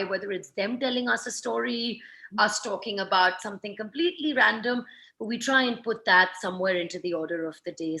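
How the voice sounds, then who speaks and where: A woman's voice: medium pitch, creaky, sharp tone, rough recording. A woman from Pakistan in her thirties, speaking in a thoughtful, reflective way in a conversational interview. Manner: thoughtful, reflective, with many "you know" fillers. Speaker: author and guest.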